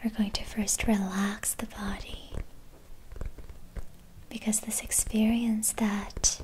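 A woman whispering a guided meditation in a slow, soothing manner. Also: medium pitch, level tone, a little mellow, low-quality recording.

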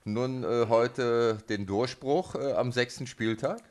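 Medium-pitched male radio sports interviewer. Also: takes it slowly.

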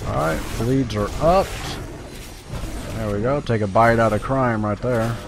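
A man's voice: mid-range voice, a little slow, creaky, over music. A man giving casual, playful game commentary, chatting to himself and to viewers as he plays. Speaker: gaming video maker.

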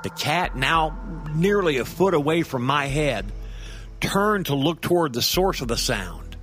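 A man's voice: a little high, animated, measured pace, a bit bright, soft background music. A man playing a rattled, indignant grandfather in a comic horror audio drama, talking conversationally, stumbling and checking that he is believed. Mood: anxious, animated. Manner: conversational, stammering, seeking agreement with tag questions. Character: rattled, indignant grandfather phoning in about a monster cat. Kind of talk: first-person horror-comedy monologue for audio drama.

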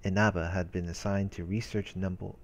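A man reading visual novel narration aloud as a let's play host, in a measured manner with brief casual commentary. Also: low-pitched, little pitch movement. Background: game music.

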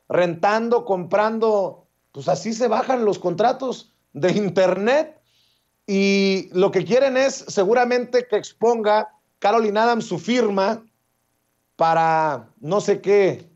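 A man's voice: high-pitched, darkish tone. A middle-aged man hosting a political talk show over video call, speaking sarcastically with frequent fillers. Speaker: host. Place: office with window blinds, indoors. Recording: dull lo-fi webcam audio.

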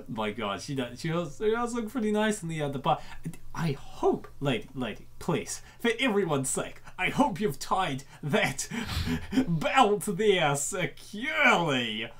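A host reacting to and reading a comic aloud in an excitable, dramatic way, often repeating phrases. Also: mid-range voice, slow, hardly any pauses. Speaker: host of comic dub show.

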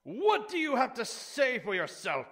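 A man plays an impassioned courtroom prosecutor in a comic dub. His voice is very high and piercing, delivering righteous, theatrical indignation. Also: huge pitch swings, measured pace, a bit bright, heavily acted. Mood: outraged. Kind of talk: comic dub character acting.

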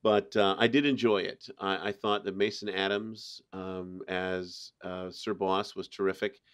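A man's voice: low voice, little pitch movement, unhurried, fairly bright tone, clear, resonant tone. A middle-aged man hosting a podcast, giving his review in casual conversation. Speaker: podcast host.

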